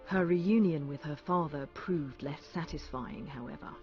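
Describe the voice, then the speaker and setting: A man acting as a solemn fantasy storyteller with a lightly raised pitch. He reads in measured, storybook fashion for a game. Music plays clearly behind his slightly dull recording. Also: natural voice. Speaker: narrator. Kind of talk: scripted storybook narration.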